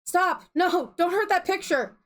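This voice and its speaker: A woman's voice: mid-range voice, unhurried, a bit bright. A woman voicing an anguished, dying young woman in a story reading, pleading and full of self-loathing.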